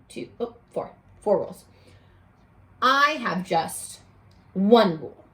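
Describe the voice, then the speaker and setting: Female teacher for a kids' read-aloud: unhurried delivery, big expressive swings, invites children to answer. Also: fairly high pitch. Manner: expressive, exclamatory, coaxing children to respond. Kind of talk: animated children's read-aloud with questions to listeners.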